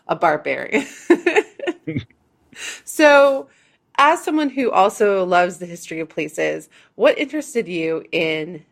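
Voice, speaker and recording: A woman hosting a travel history podcast, talking casually and quickly with frequent laughs. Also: fairly low pitch, gravelly. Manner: chatty, quick, laughs between phrases. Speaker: podcast host.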